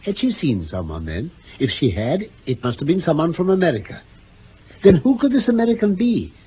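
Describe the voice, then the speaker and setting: Seeking a courteous, self-assured British detective for old radio drama: swooping intonation, precise explanations, faint hiss. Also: male voice, on the high side, very animated, fairly quick, warm tone. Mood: assured, explanatory. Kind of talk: 1950s-60s BBC radio drama acting. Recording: dull vintage radio recording, faint noise.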